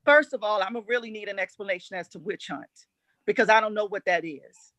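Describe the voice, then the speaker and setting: A woman's voice: medium pitch, fairly quick, fairly bright tone, dry recording. A woman debating as a board member in a formal meeting, blunt, insistent and challenging.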